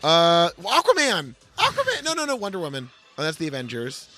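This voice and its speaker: Animated, high-pitched male podcast host. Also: a little fast. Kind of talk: casual comic banter.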